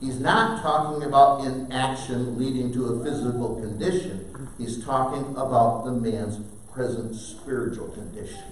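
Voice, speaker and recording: An older man delivers a sermon in an animated way, swinging from steady explaining to sudden loud bursts. He sits at an ordinary middle pitch. His voice comes from some distance through a very echoey church sanctuary, with faint ambient noise behind him. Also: normal speed. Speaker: pastor. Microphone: far camera, preaching at a wooden pulpit.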